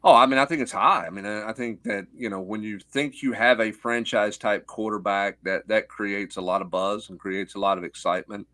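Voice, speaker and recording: A man's voice: fairly low pitch, fairly quick, few pauses, bright, forceful tone, no room sound. A middle-aged man giving off-the-cuff sports analysis as a guest, explaining with rhetorical questions and little check-in tags.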